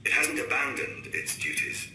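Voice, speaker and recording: An actor playing a grave, worried male scientist recording a deliberate, ominous audio log in a game. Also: bright, forceful tone. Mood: grave, measured. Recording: echoey room with faint noise.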